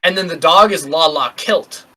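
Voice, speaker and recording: A man's voice: fairly high pitch, moderate pace, sharp tone. A man co-hosting a casual pop-culture podcast, playful and teasing, riffing on puns. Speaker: podcast co-host.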